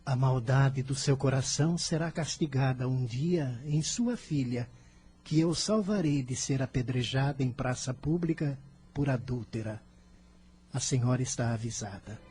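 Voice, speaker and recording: A man's voice: medium pitch, unhurried, mellow, a little hiss or hum, lightly acted. A man playing an earnest, bold, righteous boy disciple in a radio drama, speaking in a formal, solemn and persuasive way. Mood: grave, warning.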